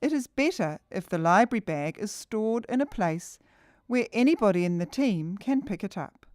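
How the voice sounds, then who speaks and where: A woman's voice: mid-range voice, animated, moderate pace, darkish tone, no echo. Woman narrator, dry close studio sound. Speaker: narrator of a training video. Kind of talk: scripted instructional narration.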